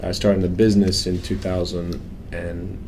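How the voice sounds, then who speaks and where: Man with a Croatian accent, low pitched, flat delivery, earnest, faint background hiss. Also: normal speed. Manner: earnest, plainspoken, frequent ums. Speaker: financial planning firm owner. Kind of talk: casual interview.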